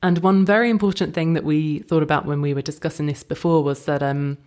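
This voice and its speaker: A woman co-hosting a casual podcast, speaking clearly and explaining practical details for newcomers. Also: deep voice, steady tone, fairly quick, darkish tone. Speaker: podcast co-host.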